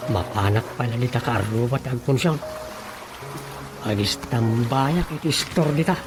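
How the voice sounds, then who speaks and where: Over a loud music bed comes an actor as a male village storekeeper with an Ilocano accent. He speaks colloquially and inquisitively at a medium pitch, in radio drama comedy style. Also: normal speed, close to an everyday voice. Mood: grumbling.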